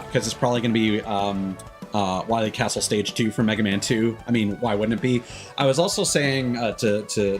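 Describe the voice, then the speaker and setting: Man, game streamer, mid-pitched voice, casual commentary, rambling, thinking aloud, music behind. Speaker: game livestreamer.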